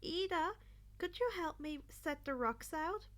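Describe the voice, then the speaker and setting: A woman's voice: very high voice, animated, unhurried, a bit bright, voice pushed up, heavily acted. A woman voicing a friendly, eager teenage boy in a story, rambling a little as he goes. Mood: cheerful.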